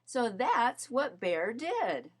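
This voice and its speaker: A woman reading a children's story aloud as narrator, in an expressive, measured storytelling manner. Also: fairly high pitch, husky. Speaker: storybook reader.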